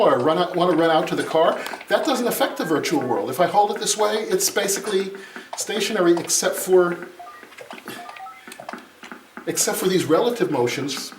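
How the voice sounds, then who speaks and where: A man giving an informal live product demonstration, exclaiming and drifting into distracted asides. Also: sits high. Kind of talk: informal live tech demo. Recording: echoey, noisy room, distant mic, clattering.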